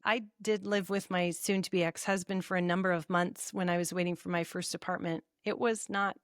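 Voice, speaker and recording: A woman's voice: fairly low pitch, fairly even intonation, brisk, dry recording, clean studio sound. A woman in her fifties co-hosting a podcast, explaining her views and recommending things with enthusiasm. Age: 50s. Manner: explanatory, enthusiastic, laughs often. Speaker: podcast co-host. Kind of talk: casual chat.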